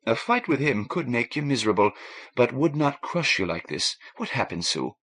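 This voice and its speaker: A man voicing a warm, frank Irish woman, a ship's doctor, in a lilting, coaxing manner for an audiobook. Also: mid-range voice, very animated, normal speed, slightly rough, full character voice. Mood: soothing, probing.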